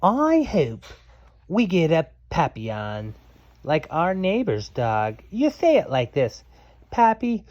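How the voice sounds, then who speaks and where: A man's voice: a little high, wildly swooping pitch, takes it slowly, a little mellow, lo-fi sound. A man reading a children's storybook aloud to a child, playful and theatrical, stopping to explain words simply.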